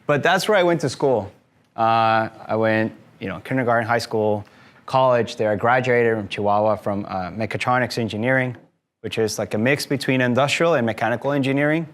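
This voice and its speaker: A man's voice: mid-range voice, a little fast. A man in his thirties from Mexico talks to a room full of listeners. His voice sits in a medium range. He explains things in a friendly way, with plenty of "um"s along the way. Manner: friendly, explanatory, frequent fillers. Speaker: guest speaker, tour company owner. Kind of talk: conversational talk to a live audience. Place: event room with an audience.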